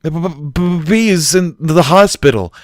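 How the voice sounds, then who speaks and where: A man's voice: fairly high pitch, takes it slowly, no room sound, studio quality, pitched above the performer's own voice. A man voicing a panicked male storybook pony who stammers heavily after a nightmare. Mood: terrified. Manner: heavy stammer on first sounds.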